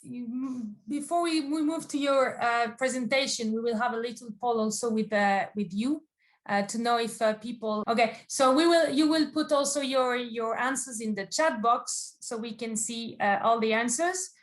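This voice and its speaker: Fairly high-voiced woman moderating a webinar. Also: moderate pace. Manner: hesitant, many fillers and restarts, laughs often. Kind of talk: hosting a live webinar. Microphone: video call.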